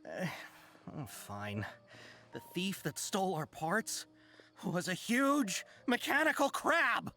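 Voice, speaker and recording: A man's voice: high voice, wildly swooping pitch, takes it slowly, bright, forceful tone, full character voice. A man playing an anxious, deferential caravan merchant in a video game, hesitant, apologetic and eager to please. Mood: nervous, shaken. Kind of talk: video game character acting.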